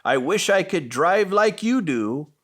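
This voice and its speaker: A man's voice: sits high, sing-song, unhurried, a bit bright, heavily acted. A man voicing a small, young female sleigh in a storybook, eager and hopeful. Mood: excited, admiring.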